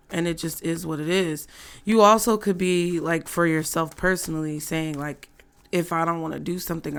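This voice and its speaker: Slow, hoarse woman reading tarot. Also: fairly low pitch. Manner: slow, chatty, laughs often. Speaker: tarot reader. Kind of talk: casual tarot reading to viewers.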